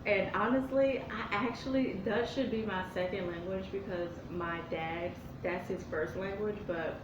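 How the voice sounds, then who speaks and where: A young woman talks loosely to her viewers, drifting between thoughts with plenty of fillers and restarts. She sits some way from the camera mic. The room is echoey and a steady background noise runs underneath. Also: medium pitch, a little slow, far from the mic. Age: young adult. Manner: meandering, with many fillers and self-corrections. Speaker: YouTuber answering viewer questions. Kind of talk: casual rambling vlog chat.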